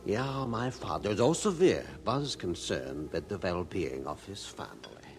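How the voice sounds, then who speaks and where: An actor playing an older reformer narrating his own life story in a radio drama, measured and reflective. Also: deep voice, expressive, slight background noise. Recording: music under narration.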